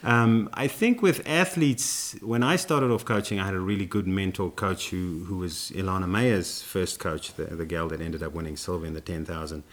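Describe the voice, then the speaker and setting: A man, a running coach, telling his story in a relaxed interview with lots of fillers and restarts. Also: low-pitched, moderate pace, few pauses. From South Africa. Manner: rambling, many fillers and restarts.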